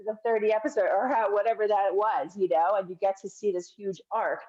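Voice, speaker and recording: A woman's voice: medium pitch, fairly even intonation, fairly quick, a little mellow, dull audio. A woman guest, a filmmaker, explaining her project in an earnest, casual way with some hesitations. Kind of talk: casual interview chat.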